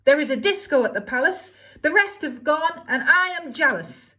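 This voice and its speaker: A woman voicing Cindy, a spoiled and furious young woman, in a shrill, demanding, theatrical way for a storybook reading. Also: unhurried, a bit bright.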